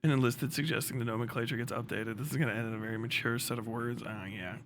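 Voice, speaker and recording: A man livestreamer giving casual commentary, ranting in a sarcastic, animated way with lots of swearing. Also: medium pitch, fast, a little hiss or hum.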